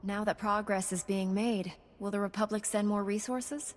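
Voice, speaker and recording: A woman playing a calm, assured heroic knight in a video game, speaking formally. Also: medium pitch, normal speed, lightly acted. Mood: calm, resolute. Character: calm, resolute heroic female Jedi knight.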